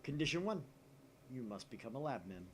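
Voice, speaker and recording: A man's voice: mid-range voice, sing-song, fairly quick, a little hiss or hum, close to an everyday voice. A man voicing an arrogant, bossy young male mad scientist from a visual novel, with pompous, dramatic declarations. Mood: commanding. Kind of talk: visual novel character reading.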